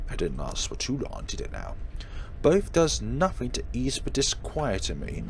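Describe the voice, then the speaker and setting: Low-voiced man narrating a visual novel. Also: a little hiss or hum. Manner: stumbles over read lines and corrects himself. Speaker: let's play host reading aloud. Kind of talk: read-aloud visual novel narration with brief asides.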